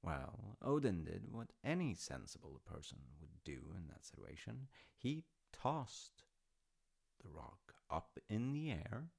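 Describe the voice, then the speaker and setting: A man's voice: on the low side, big pitch swings, slow pace, vocal fry, darkish tone, husky, full character voice. A man playing an easygoing dad in an intimate audio roleplay, telling old myths casually with wry, understated humour. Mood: wryly amused. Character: easygoing, artsy dad telling Norse myths to his grown child.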